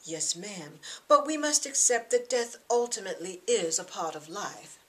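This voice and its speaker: A woman's voice: mid-range voice, expressive, lightly acted. Woman reading as a solemn, formal young man. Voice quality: smooth. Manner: formal, measured, deferential.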